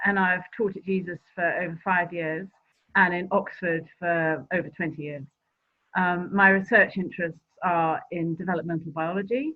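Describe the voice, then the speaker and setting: A middle-aged woman, a longtime medical tutor, explaining the course steadily with a few ums. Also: lowish voice. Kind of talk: virtual open day presentation. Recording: tinny phone-like call audio.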